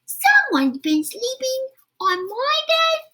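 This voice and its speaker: A woman voicing a small baby rhino boy who wails in a high, sing-song way. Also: very animated, slow, sharp tone, a little hiss or hum, exaggerated voice. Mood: wailing.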